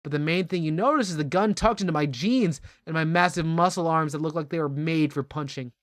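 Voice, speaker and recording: A man's voice: fairly high pitch, fairly quick, no room sound, studio quality, lightly acted. A man voicing a drunk, menacing brawler at a bar, brooding and ominous, in a mock-dramatic comedic reading. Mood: threatening. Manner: slurred, brooding, ominous storytelling in first person.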